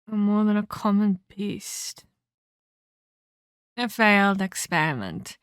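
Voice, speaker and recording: A woman playing a condescending, sinister female vampire captor in an ASMR audio roleplay, speaking in a deliberate, theatrical, taunting way. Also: medium pitch, expressive, clean tone, no echo. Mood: cold disappointment.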